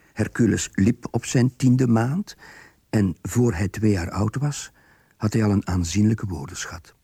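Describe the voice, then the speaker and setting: A man reading scripted radio drama narration in a measured, literary way. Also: fairly low pitch, creaky, warm tone, slightly rough, no echo, studio quality.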